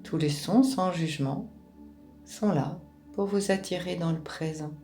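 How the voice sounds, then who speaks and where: A woman guides a relaxation meditation in a low, mellow voice. She speaks very slowly and gently, leaving space between short, soothing instructions. Music plays behind her. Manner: calm, gentle, unhurried phrasing with pauses.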